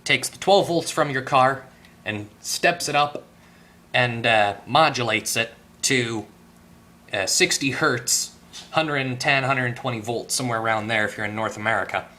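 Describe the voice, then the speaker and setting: A man giving a casual hands-on electronics repair tutorial, tentative and thinking out loud as he goes. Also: mid-range voice, normal speed. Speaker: repair video host. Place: at a workbench indoors.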